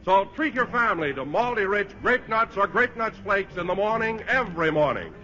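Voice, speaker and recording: A man's voice: high-pitched, lively intonation, brisk, sharp tone, soft background music. A male announcer in 1940s radio style, speaking in an emphatic, salesmanlike way. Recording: dull old radio broadcast recording.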